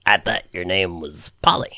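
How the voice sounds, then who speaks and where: A man's voice: low voice, moderate pace, creaky, sharp tone, dry recording, heavily acted. A man playing a confused, kindhearted, folksy small-town character in an audio drama, with a hesitant manner. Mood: puzzled. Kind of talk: scripted audio drama acting.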